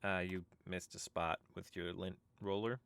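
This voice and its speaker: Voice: sits low, fairly even intonation, measured pace, vocal fry, fairly bright tone, smooth, dry recording, full character voice. An actor playing an anxious but reasonable young traveler in a sci-fi audio drama, questioning and placating. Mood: low-key.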